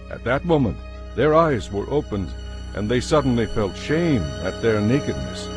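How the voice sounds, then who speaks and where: A man narrates scripture as a scripted audio drama. His voice sits at an average pitch, with faint music behind it. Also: darkish tone.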